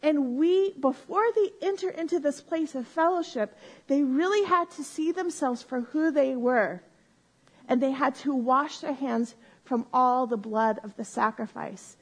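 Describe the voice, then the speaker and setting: A woman teaching a Bible lesson to a live group on a microphone, explaining slowly and step by step and often checking in with "right?". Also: high voice, rough recording. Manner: says she has a loud voice. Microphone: handheld or stand mic.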